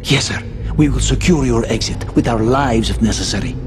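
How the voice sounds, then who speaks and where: A man's voice: mid-range voice, brisk, natural voice. A man playing a dutiful mercenary soldier in a video game, speaking in formal, clipped military phrases. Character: dutiful male mercenary soldier reporting to his commander. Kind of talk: video game cutscene voice acting. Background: music.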